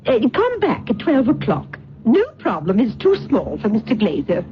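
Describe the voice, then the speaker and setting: Prim, high-voiced receptionist, very animated. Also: female voice, moderate pace, noisy background. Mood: prim, dismissive. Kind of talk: old-time radio drama acting. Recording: dull old radio recording, faint music.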